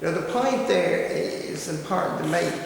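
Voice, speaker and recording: A man's voice: a little high, lively intonation, unhurried, creaky, a little hiss or hum. A man giving a history lecture from his notes, reading steadily and then breaking off into hesitant asides. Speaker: local history speaker. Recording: echoey hall recorded from far off.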